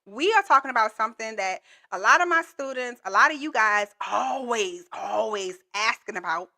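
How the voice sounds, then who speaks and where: High-energy, bright-voiced woman coach. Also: expressive, moderate pace, creaky. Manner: high-energy, playful, chatty, addresses viewers directly. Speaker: government contracting coach. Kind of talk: energetic livestream lesson.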